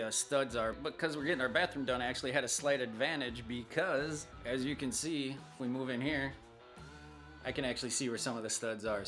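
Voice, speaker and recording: A man's voice: medium pitch, bright, forceful tone. A man in his forties with an ordinary mid-range voice talks through a hands-on project like a buddy would. His delivery is laid-back and colloquial, in the manner of a casual how-to vlog. Some guitar music plays along with him. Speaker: host of a DIY review vlog. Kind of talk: casual how-to chat to camera. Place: indoors at home: garage, bar room and bedroom.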